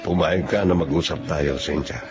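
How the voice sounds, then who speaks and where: An actor playing a gentle, ailing male boss burdened by guilt in a Filipino radio drama. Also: a bass voice, moderate pace, muffled recording, music in the background. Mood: earnest.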